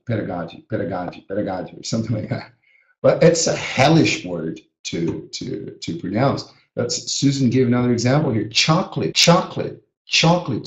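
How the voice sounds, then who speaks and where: A man's voice: medium pitch, reverberant, lo-fi sound. A man from Brazil, a native Portuguese speaker, teaching a live webinar lesson and drilling words with earnest emphasis. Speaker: teacher educator. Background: a small child heard now and then.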